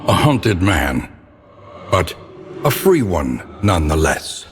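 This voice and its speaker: A male actor voicing a grim, ominous storyteller for a dark gothic game, narrating in a grave, theatrical, measured way with ornate delivery. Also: low voice, big pitch swings, full character voice. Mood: somber, dramatic. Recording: long reverb, noisy ambient bed.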